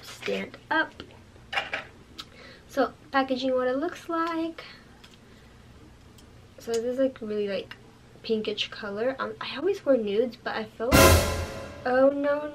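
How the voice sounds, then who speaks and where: A young woman in her twenties, a bit hoarse from losing her voice, chatting casually as she unboxes and reviews makeup at home, filling her talk with "like" and "you guys". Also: high-pitched, background noise. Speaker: beauty vlogger. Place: bedroom with makeup shelves, indoors.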